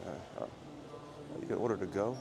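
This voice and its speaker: A man with a low voice chatting casually and rambling to his livestream viewers as he walks through an airport terminal. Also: a little fast, a crowd in the background. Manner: rambling, joking, talks quietly. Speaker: IRL livestreamer. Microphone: phone or camera carried while walking. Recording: noisy phone livestream audio.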